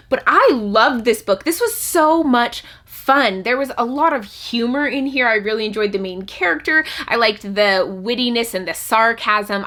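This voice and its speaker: Bright-voiced woman chatting enthusiastically about favourites. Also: a little high. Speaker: book reviewer and channel host. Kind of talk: casual chatty book talk to camera.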